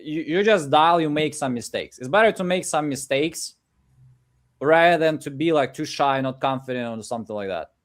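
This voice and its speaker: A man from Ukraine with a Ukrainian accent, coaching people live over a video call in energetic, filler-heavy English. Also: fairly high pitch, moderate pace. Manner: energetic, pep-talk delivery with many "like" and "again" fillers. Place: home office in Ukraine.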